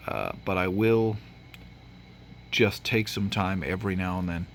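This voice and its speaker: A man's voice: sits low, fairly even intonation, unhurried, slight background noise. A man from Tennessee, with a Tennessee accent, giving casual, rambling gameplay commentary with lots of fillers, sniffly from allergies. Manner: rambling, with frequent fillers and restarts. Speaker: gaming channel host.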